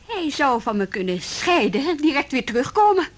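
A woman's voice: sits high, big pitch swings, moderate pace. An actress playing a proud, defiant young policewoman in a Dutch radio play, indignant and pointed yet formally polite.

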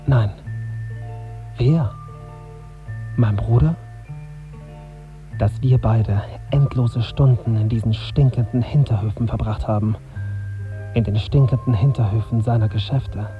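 An actor playing a brooding young journalist, narrating his tense inner thoughts in a measured, husky voice for a radio drama. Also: mid-range voice, highs cut off, over music, lightly acted. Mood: anxious, introspective. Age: young adult. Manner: introspective, measured, bitter self-questioning.

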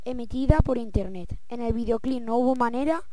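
A woman's voice: on the high side, fairly quick, no echo. A woman reading out a guest's biography steadily, listing item after item. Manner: steady listing read-aloud. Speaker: school radio presenter.

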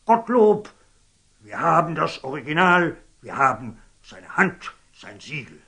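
A man's voice: fairly high pitch, very animated, unhurried, creaky, bright, forceful tone, slightly rough, full character voice. An actor playing a pompous, zealous and scheming old churchman in declamatory German radio drama style. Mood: outraged. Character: zealous, scheming, fanatical old churchman, shifting from outrage to fawning. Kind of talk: theatrical German radio drama acting of classic stage verse.

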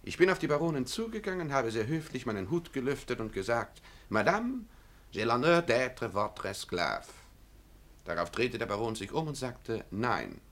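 A man's voice: medium pitch, sing-song, normal speed, fairly bright tone. An actor in a German radio play, playing an obsessed, lovesick young Russian man, proud yet self-abasing, with an intense, brooding, theatrical delivery. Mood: defiant, mock polite.

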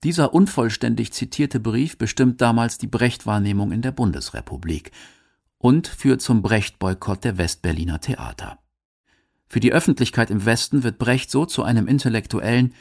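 A man's voice: medium pitch, animated, moderate pace, gravelly. A man from Germany, reading scripted documentary narration.

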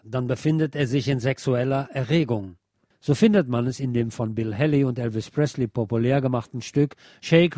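A man's voice: mid-range voice, dark tone, dry recording, clean studio sound. A man presenting a scripted, lecture-like essay as a narrator. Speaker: presenter reading a radio essay.

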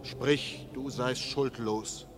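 A man's voice: mid-range voice, fairly even intonation, drawn-out words. An actor playing a stern, pious, accusing father, declaiming solemnly in a classical verse radio drama. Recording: noisy recording with ambience.

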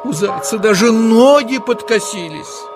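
A man narrating a children's story in a dramatic, expressive, exclamatory style. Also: high-pitched, unhurried, music in the background.

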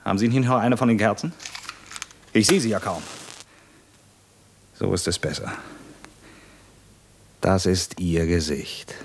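An actor in a 1950s German radio drama playing a hunted, bitter, proud revolutionary commander with an intense, rhetorical delivery. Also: male voice, deep voice, unhurried, long pauses, slight background noise. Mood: tense, curt. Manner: intense, rhetorical, abrupt shifts between hushed and emphatic.

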